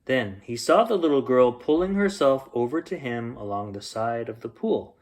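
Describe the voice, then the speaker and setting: A man reading a children's bedtime story aloud, expressively, with dramatic emphasis and pauses. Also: mid-range voice, animated.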